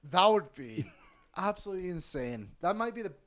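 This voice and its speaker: A man hosting a sports podcast, chatting casually and reading out betting odds in a lively way. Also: sits high, brisk. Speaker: podcast host.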